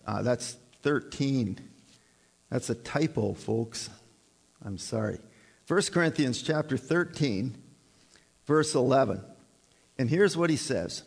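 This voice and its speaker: A man's voice: medium pitch, gravelly, slightly rough. A man preaching a sermon in a conversational way, often putting questions to the congregation. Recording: reverberant church hall.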